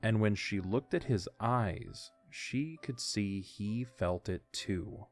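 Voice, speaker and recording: Low-pitched male story narrator. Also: slow pace, faint music behind.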